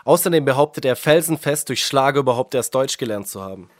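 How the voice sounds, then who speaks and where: A male reporter with a medium voice narrates a radio feature and asks the interview questions. His delivery is smooth and polished, and the sound is dry and close. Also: mid-range voice, expressive, fast talker, no room sound.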